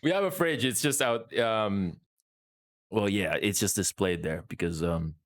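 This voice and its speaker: A man's voice: fairly low pitch, a little slow. A man of thirty from the Philippines, hosting a gaming podcast in a playful, joking way. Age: 30s. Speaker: podcast host. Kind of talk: casual gaming podcast chat.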